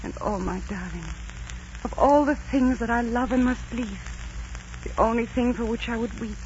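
A woman's voice: a little high, moderate pace, darkish tone, slight background noise, lightly acted. An actress playing a devout, tender young Aztec princess resigned to her fate, in earnest, formal 1940s radio romance style. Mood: tender, sorrowful. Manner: earnest, melodramatic, formal diction. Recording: dull 1940s radio recording, music behind.

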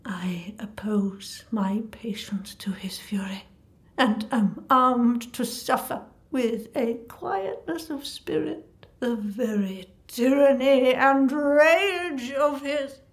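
A woman's voice: on the high side, takes it slowly. A woman playing a noble, long-suffering Shakespearean queen in a comic audio drama, speaking in stately archaic diction. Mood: stoic.

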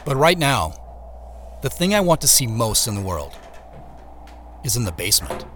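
Introspective scientist with lively intonation. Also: male voice, medium pitch, normal speed, slight background noise. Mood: hushed suspense. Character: introspective male scientist narrating his own search. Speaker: lead actor and narrator. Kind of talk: first-person audio drama narration and dialogue.

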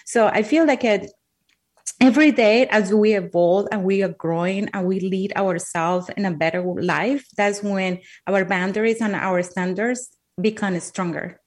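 A woman's voice: medium pitch, a little slow, darkish tone. Colombian-accented woman telling her story. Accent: Colombian Spanish. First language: Spanish. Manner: earnest, emphatic, repeats words while thinking. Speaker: guest. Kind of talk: heartfelt personal storytelling.

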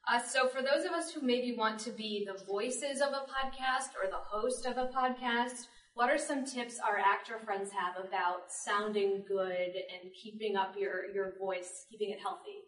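An echoey, slightly distant recording of a woman with a mid-pitched voice. She talks casually, like a moderator at a panel discussion. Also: normal speed, hardly any pauses, fairly bright tone. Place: public library panel room. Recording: echoey room, distant mic.

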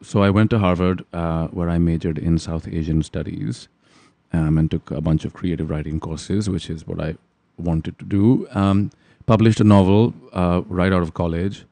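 Deep, mellow man. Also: fairly even intonation, creaky, no room sound. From Pakistan. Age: 30s. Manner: articulate and measured, pausing with many ums. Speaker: guest. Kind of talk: reflective interview answers.

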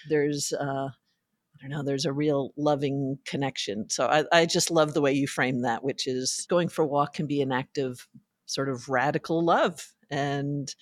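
Woman, podcast co-host, low voice, reflective, gentle, dry sound. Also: steady tone, unhurried, no room sound. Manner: thoughtful, soft, searching for words. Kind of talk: reflective conversation.

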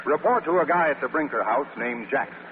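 An actor in a 1940s radio play, playing a brusque, commanding army major who talks in clipped orders. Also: male voice, mid-range voice, animated, a little fast, lightly acted. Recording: very noisy, tinny old radio recording.

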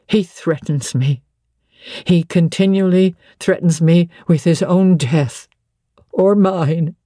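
A narrator puts on an ailing older gentlewoman from a storybook, bitter and full of grievance. She mutters in a querulous, scornful, agitated way. Her voice is dark and husky, recorded dry and close. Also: medium pitch, no room sound. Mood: agitated, fearful.

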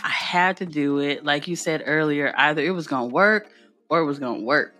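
A woman's voice: deep voice, fairly quick, a bit bright, faint music behind. A woman entrepreneur guest telling her story in a candid, colloquial way, laughing often and driving points home with emphasis. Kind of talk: casual storytelling chat.

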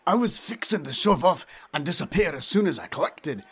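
An actor playing a frightened petty crook in an audio drama, pleading and stammering in rough working-class speech. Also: male voice, fairly high pitch, expressive, fairly quick, fairly bright tone. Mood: panicked pleading. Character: desperate, frightened male street courier and petty crook.

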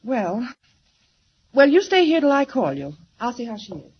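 A prim, flustered nurse. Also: female voice, on the high side, big pitch swings, normal speed, a little hiss or hum. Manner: prim, flustered, old-fashioned. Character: fussy, proper adult hospital nurse. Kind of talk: 1940s radio acting. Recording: dull 1940s radio transcription.

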